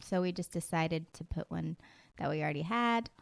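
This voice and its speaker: A woman co-hosts a relaxed music podcast from a home studio, laughing easily as she chats. A cold leaves her voice slightly scratchy, and it sits on the lower side. The sound is close and dry. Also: normal speed, vocal fry, no room sound. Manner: giggly, bubbly. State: has a cold, a bit scratchy. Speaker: podcast co-host. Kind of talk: casual chat.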